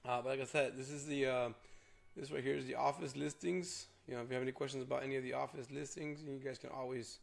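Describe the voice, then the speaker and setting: A hoarse young man, a realtor chatting loosely through a casual vlog walkthrough with lots of fillers. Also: medium pitch, fairly even intonation, fast talker. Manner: rambling, filler-heavy. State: hoarse from a cold.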